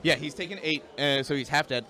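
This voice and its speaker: A man's voice: a little high, quick pace. Projecting male game commentator. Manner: loud projecting voice. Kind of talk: casual tabletop game commentary.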